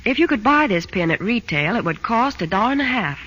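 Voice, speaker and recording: Bright, animated vintage radio spokeswoman. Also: a little high, brisk, muffled recording. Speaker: advertising spokeswoman. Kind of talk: scripted 1940s radio advertising. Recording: old radio broadcast, faint noise.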